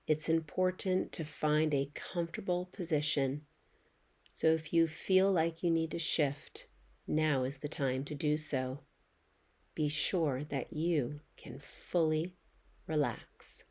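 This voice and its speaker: Slow, low-voiced woman guiding meditation. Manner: soothing, gentle, measured delivery with pauses. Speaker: yoga nidra meditation guide. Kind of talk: guided relaxation meditation, softly instructing.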